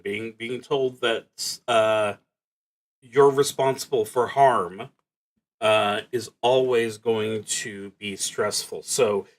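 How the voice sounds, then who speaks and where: A man narrating a solo tabletop game on a livestream, thinking aloud in a casual, halting way with pauses mid-sentence. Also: medium pitch, fairly bright tone. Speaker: solo tabletop roleplaying streamer.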